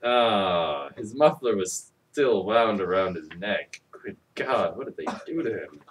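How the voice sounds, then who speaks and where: A man's voice: lowish voice, lively intonation, a little slow, recorded from a distance, slight background noise. A man giving a mock-dramatic storybook reading with sardonic, profane asides in a theatrical delivery. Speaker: comic storybook reader. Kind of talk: mock-dramatic reading with ad-libbed crude commentary.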